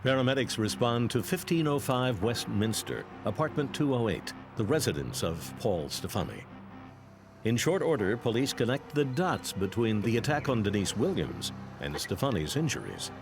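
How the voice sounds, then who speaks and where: A man's voice: medium pitch, sing-song, normal speed, music in the background. A man with an American accent reading a scripted true-crime documentary narration.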